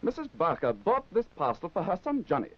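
An actor playing an officious, commanding supermarket manager in a 1960s children's puppet show, speaking briskly. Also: male voice, fairly high pitch, huge pitch swings. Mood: brisk, bossy. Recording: dull 1960s television film soundtrack, music.

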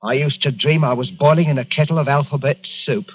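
Radio play role: unsteady, lonely little salesman, deferential and halting, on a dull vintage recording. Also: a little high, steady tone, breathy edge. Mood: confessional. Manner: hesitant, nervous, deferential. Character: timid, lonely, unstable travelling salesman, self-pitying. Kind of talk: old-time radio drama acting.

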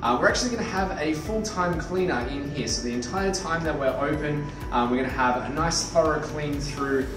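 A young man on staff at a gym walks a viewer through the place, talking in a friendly way with plenty of "um"s. He stands a few metres from the camera, so his voice comes across distant and bounces around the big echoey hall, with music playing clearly underneath. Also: on the high side, brisk, hardly any pauses. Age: 20s. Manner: friendly, filler-heavy, walking and pointing while talking. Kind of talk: walkthrough tour video. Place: large indoor gym. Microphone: camera mic from a few metres off. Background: background music.

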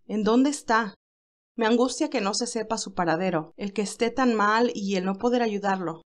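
A woman's voice: medium pitch, normal speed, lightly acted. A woman voicing an earnest, tender young nurse in an audio story, emotional and caring. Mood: anguished.

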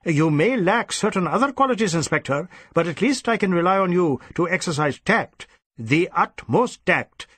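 An irascible Indian police chief, acted out by a male audiobook reader, cold and overbearing. His voice rides high and swings widely as he barks and repeats himself. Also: expressive, normal speed, a bit bright, husky, no echo, heavily acted. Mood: stern, commanding. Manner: snapping, barking, repeats himself impatiently. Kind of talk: audiobook character acting.

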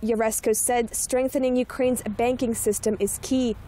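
A woman reading a scripted news report as a steady voice-over. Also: on the high side, normal speed. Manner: steady, even read.

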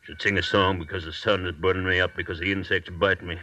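An actor playing a weary, gloomy convict stranded in the jungle, mournful and doubtful, in a 1940s radio drama. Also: male voice, low-pitched, steady tone, fast talker, pushed and bright, distant mic. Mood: bitterly gloomy. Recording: muffled, hissy old radio recording.

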